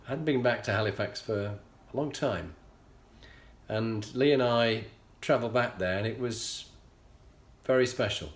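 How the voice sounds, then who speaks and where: A middle-aged man with a Yorkshire accent, telling a personal story as a show host in an earnest, measured way with frequent pauses. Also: mid-range voice. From England. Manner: earnest, measured, pausing often. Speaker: leadership coach and show host. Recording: echoey room.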